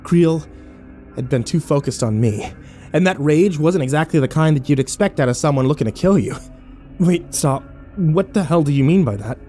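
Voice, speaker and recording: A man's voice: a little high, lively intonation, normal speed, warm tone, faint music behind, lightly acted. A man playing a sarcastic, battered young man who narrates his own horror audio drama with wry, sweary self-deprecation. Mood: exasperated.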